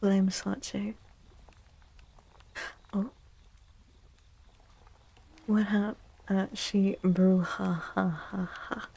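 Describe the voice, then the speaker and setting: A woman streams in a hushed, breathy ASMR voice that often slips toward a whisper. Her tone is mellow and creaky at the edges, and she takes her time. She chats gently, with a playful, theatrical way of drawing out words. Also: medium pitch, level tone, extremely slow, long pauses. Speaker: streamer hosting a tarot and astrology stream. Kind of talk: soft-spoken ASMR, casual live stream chat.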